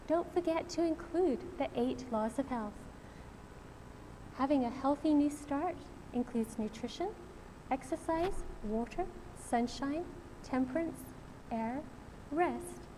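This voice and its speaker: A woman's voice: high voice, mellow. A woman presenting slides at a health seminar, explaining steadily before introducing the next speaker. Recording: hall reverb with faint noise.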